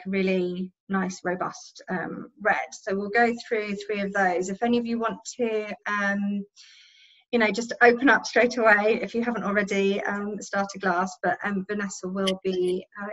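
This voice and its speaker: A woman's voice: medium pitch, a bit bright. A woman in her thirties hosting an online wine tasting, hesitant with many fillers, speaking over a video call. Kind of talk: casual hosting. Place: home room. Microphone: laptop webcam video call. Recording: dull lo-fi call audio.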